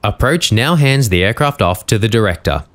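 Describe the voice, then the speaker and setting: A man with a fairly low voice reads scripted narration. He explains events step by step in a documentary style. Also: lively intonation, normal speed, fairly bright tone.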